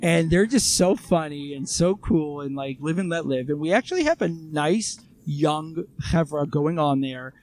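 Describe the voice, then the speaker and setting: Chatty American guy, slightly high voice. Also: measured pace, hardly any pauses, darkish tone. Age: 30s. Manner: chatty, enthusiastic, heavy use of "you know". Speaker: podcast guest. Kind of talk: casual interview chat. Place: outdoors in a residential neighborhood.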